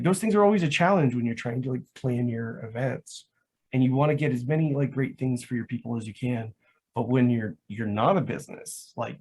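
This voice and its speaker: Male, mid-pitched voice, casual explanatory talk show guest, rambling, peppers speech with "like". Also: a little mellow, slight background noise. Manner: rambling, says "like" constantly. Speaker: guest, live action roleplay organiser.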